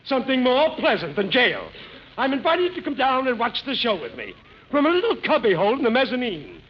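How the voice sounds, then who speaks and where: A man playing a pompous, excitable, indignant hotel manager in a 1940s radio comedy, with theatrical delivery. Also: very high voice, fairly quick, full character voice. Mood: gloating indignation. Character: blustering, excitable, outraged male hotel manager. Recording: scratchy muffled 1940s radio broadcast.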